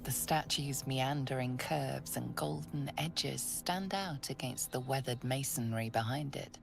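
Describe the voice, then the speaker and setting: Very deep-voiced solemn game narrator. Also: female voice, unhurried, gravelly, over music, exaggerated voice. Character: solemn, omniscient female fantasy game narrator. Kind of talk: scripted video game narration.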